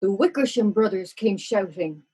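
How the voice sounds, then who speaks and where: A woman's voice: mid-range voice, lively intonation, moderate pace, warm tone, husky. A woman narrating a rhyming children's story from a script, on a slightly muffled recording.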